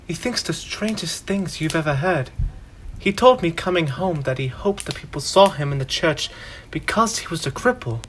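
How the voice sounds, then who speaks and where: A young man playing a humble, gentle poor father who speaks warmly to his family and leads a prayer. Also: fairly high pitch, slight background noise. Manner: gentle, warm-hearted, prayerful. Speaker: student actor.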